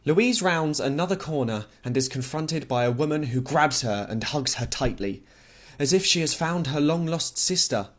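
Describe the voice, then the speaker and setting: A man's voice: on the high side, animated, moderate pace. A man narrating a literary novel as an audiobook, reading expressively and acting out the dialogue.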